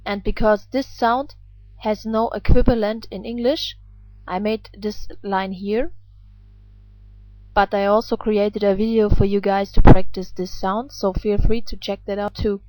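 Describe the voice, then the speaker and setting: Slow-paced German woman teaching pronunciation. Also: mid-range voice, flat delivery, darkish tone, no echo, poor audio. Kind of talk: instructional pronunciation lesson.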